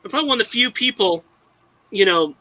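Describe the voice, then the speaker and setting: A man's voice: high-pitched, lively intonation, quick pace, pushed and bright, poor audio. A young man from Southern California chatting casually on a live stream, rambling with many restarts and fillers. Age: young adult. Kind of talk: casual chat.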